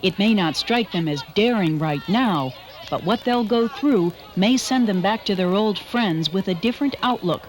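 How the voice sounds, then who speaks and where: A woman's voice: mid-range voice, animated. Earnest woman narrating a documentary. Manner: measured, earnest. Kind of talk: scripted narration. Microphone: studio voice-over.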